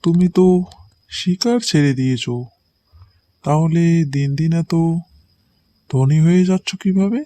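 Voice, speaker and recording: A man's voice: fairly high pitch, a little slow, pauses often, warm tone, no echo, lightly acted. A man playing a greedy, envious rich villager in a cartoon fairy tale, with a scheming, prying manner.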